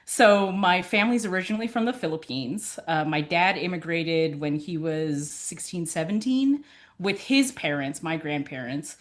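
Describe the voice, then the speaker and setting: A young woman from California whose first language was Tagalog chats as an interview guest. Her voice sits a little low, and she laughs often while she tells family stories. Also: moderate pace. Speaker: podcast guest, college advisor. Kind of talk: casual interview chat.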